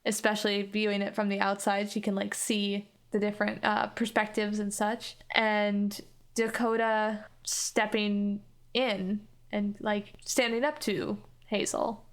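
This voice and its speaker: A woman's voice: mid-range voice, flat delivery, measured pace. A woman on an actual play podcast, narrating improvised roleplay in a casual, hesitant way with lots of "like".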